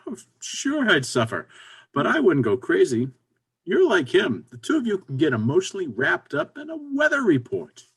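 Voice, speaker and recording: A man's voice: high-pitched, huge pitch swings, moderate pace, gravelly, breathy edge, full character voice. A man playing a pragmatic, impatient but encouraging businessman friend in a stage comedy reading, with emphatic delivery. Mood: exasperated. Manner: pushy, exasperated, emphatic. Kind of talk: stage comedy readers theater.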